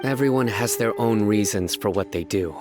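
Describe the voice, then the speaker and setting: Stern quiet investigator guy played by a man, really low voice, short clipped lines. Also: normal speed, music in the background, close to an everyday voice. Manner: calm, terse, commanding. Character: stern, reserved male lone investigator. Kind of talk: game voice acting.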